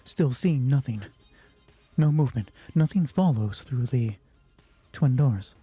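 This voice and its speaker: A man's voice: medium pitch, expressive, measured pace, warm tone, husky. A man hosting a solo roleplay game as game master, moving between terse story narration and informal commentary on play. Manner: informal, swearing, thinking aloud during play. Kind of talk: hushed scripted story narration alternating with casual game commentary. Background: music.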